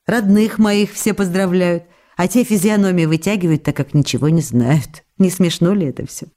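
A woman's voice: lowish voice, moderate pace, dark tone, natural voice. An actress in a radio drama reading the tender, playful love letters of a young woman actress, in an intimate, questioning way.

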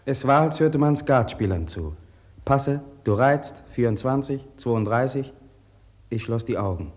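A man's voice: mid-range voice, lively intonation, a little slow, a little mellow. An actor playing a farmer's son who soberly recalls his lost home village, narrating a radio drama in the first person. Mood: reflective. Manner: sober, reflective recounting.

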